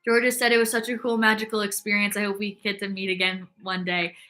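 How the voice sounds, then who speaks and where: A woman's voice: mid-range voice, little pitch movement, fast talker, sharp tone. A young woman hosting a casual fitness livestream, chatting upbeat and reading viewer comments aloud, with lots of "like" fillers.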